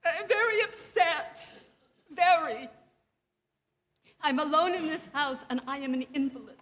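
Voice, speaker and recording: An actress playing an anxious, demanding, self-pitying invalid wife in a theatrical radio drama, pleading and imperious. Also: female voice, sits high, measured pace, fairly bright tone. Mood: agitated, demanding.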